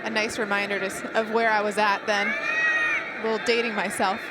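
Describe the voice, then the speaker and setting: A woman's voice: medium pitch, little pitch movement, a little fast. A woman giving conversational color analysis during a live sports broadcast. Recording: loud crowd and stadium noise behind.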